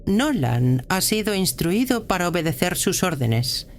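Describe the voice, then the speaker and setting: A woman playing a stern senior prioress of a militant church in an audio drama, speaking in a measured, formal, authoritative way. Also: on the low side, very animated, a little hiss or hum. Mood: cold, composed.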